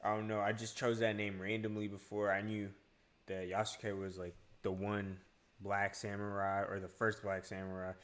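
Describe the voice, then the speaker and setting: A man's voice: fairly low pitch, monotone, moderate pace, fairly bright tone. A young man who grew up in Asia, hosting a casual reaction video and chatting in a laid-back, slangy way. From grew up in Asia (Hong Kong, UAE, India). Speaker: YouTuber reacting to a trailer.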